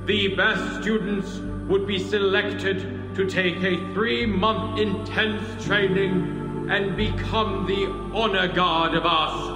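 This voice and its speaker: An actor playing an elderly, proud school principal giving a pompous, formal ceremonial speech in a video game. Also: male voice, high voice. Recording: long reverberant hall echo, music behind.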